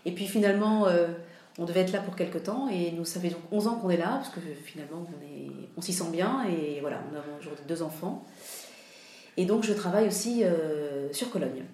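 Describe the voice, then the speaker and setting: A woman's voice: on the low side, fairly quick, darkish tone. A woman from France talking casually as a podcast guest, hesitating often with fillers and restarts. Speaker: interview guest. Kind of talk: casual interview conversation. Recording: roomy echo.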